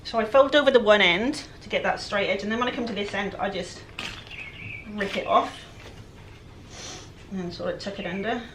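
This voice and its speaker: A woman with a croaky, raspy voice, chatting casually while she works through a furniture project. She sounds a little breathless and often lets her sentences trail off quietly. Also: mid-range voice, moderate pace, slight background noise, low-quality recording. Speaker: furniture flipper. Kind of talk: casual how-to chat while working.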